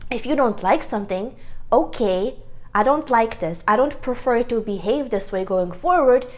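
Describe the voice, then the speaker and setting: A woman's voice: fairly high pitch, animated, normal speed, darkish tone. A woman vlogger giving a casual, earnest self-help talk to camera, rambling and correcting herself as she goes, on a clip-on microphone.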